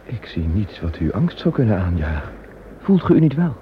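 A man's voice: fairly low pitch, sing-song, moderate pace. An actor in a radio play playing a loving, reassuring king. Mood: concerned. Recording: dull old radio recording, faint noise.

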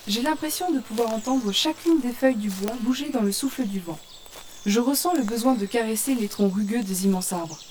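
A woman's voice: on the high side, fairly quick, darkish tone, a little hiss or hum, close to an everyday voice. Contemplative woman adventurer, immersed and observant, played by an actress. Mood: awed, savoring. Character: female novice archer in virtual world, awestruck, observant. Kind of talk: French audio fiction, descriptive first-person narration. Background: forest ambience with birds and insects.

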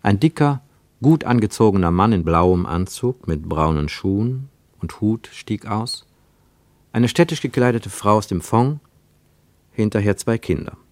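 A man reads literary prose for radio as a narrator, with deliberate, emphatic phrasing and dramatic pauses. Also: lowish voice, expressive, no echo.

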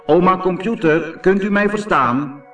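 A man's voice: high voice, unhurried, pushed and bright, far from the mic, soft background music, pitched above the performer's own voice. A man voicing a kind, eager little artificial moon in a children's storybook. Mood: concerned, helpful. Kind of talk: children's audiobook character voice.

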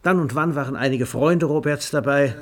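A man's voice: a little high, normal speed. A man narrating a literary radio play in a scripted storytelling style.

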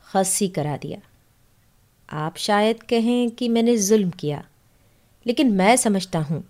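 A woman's voice: medium pitch, mellow. A woman narrating a literary short story in the first person, audiobook style.

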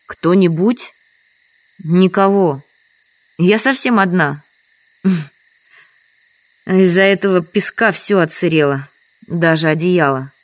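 An actress playing a lonely, resigned village widow in an audio drama, speaking plainly and matter-of-factly. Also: female voice, lowish voice, takes it slowly, pauses often. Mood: resigned, sorrowful. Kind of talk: Russian audio drama acting.

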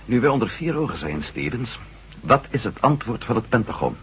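An actor playing a shrewd former astronaut turned reporter, questioning officials in an insistent way in a radio drama. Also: male voice, medium pitch, very animated, a little fast, music in the background, close to an everyday voice. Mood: urgent. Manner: probing, insistent. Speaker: lead character. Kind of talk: 1970s radio drama acting. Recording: dull lo-fi radio recording.

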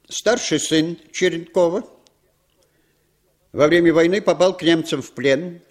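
An actor playing an urbane, commanding, cynical intelligence chief in a 1960s Soviet radio drama, with deliberate, slyly ironic delivery. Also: male voice, medium pitch.

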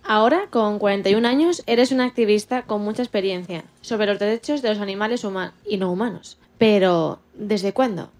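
A woman's voice: mid-range voice, fairly quick, a little mellow. A woman co-presenting a radio show and interviewing from prepared questions.

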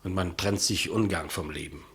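A humble male executioner who talks about the job plainly and with deference. The voice is deep and heavily husky, the delivery subdued. It is acted as in a 1950s German radio drama. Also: normal speed, fairly bright tone, heavily acted. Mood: quiet, patient. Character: humble, plodding, matter-of-fact male state executioner.

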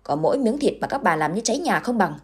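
A woman doing a gruff village husband. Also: a little fast, warm tone. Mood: angry. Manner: curt, barking, then grave and low.

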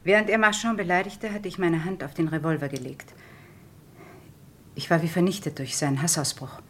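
An actress playing a woman doctor in a radio crime drama, confessing in a controlled, measured way to killing her husband. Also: lowish voice, steady tone, fairly bright tone, slight background noise, close to an everyday voice. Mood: subdued, resigned. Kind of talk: 1970s German radio crime drama acting.

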